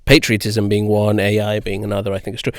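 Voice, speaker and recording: A man co-hosting a podcast talks quickly in an informal, jokey way, his voice at a middle pitch. The sound is close and dry. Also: flat delivery, no echo. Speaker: podcast co-host. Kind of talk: casual podcast conversation.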